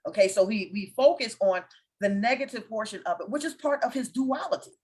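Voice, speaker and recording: A woman's voice: mid-range voice, a little fast. A woman hosting a casual spiritual conversation, explaining ideas with confident, teacherly authority. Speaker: host of a spiritual discussion.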